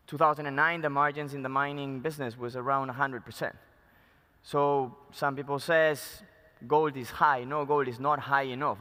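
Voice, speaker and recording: A man with a Spanish accent delivers an investor presentation in a reverberant conference hall. His voice is clear and resonant, and he speaks in halting, emphatic phrases, like an executive addressing a room. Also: medium pitch, sharp tone. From Peru. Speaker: mining company chief executive presenting. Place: large indoor conference room.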